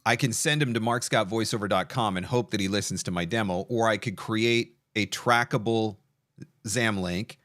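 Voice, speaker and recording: A man with a notably deep voice hosts an online session. He talks conversationally, like a voice actor guiding a guest, and the sound is dry with no room echo. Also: moderate pace, a bit bright. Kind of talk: conversational webinar hosting.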